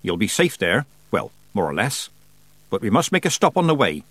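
A man's voice: fairly low pitch, big pitch swings, normal speed, a bit bright, breathy edge, no room sound, full character voice. A stern, icy old witch, as a male reader puts her on, clipped and commanding. Her lines keep a cold edge. Close, dry sound.

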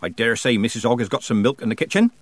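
Man voicing a gruff, stubborn old witch woman. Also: mid-range voice, expressive, quick pace, dry recording, lightly acted. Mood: gruff, defensive. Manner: curt.

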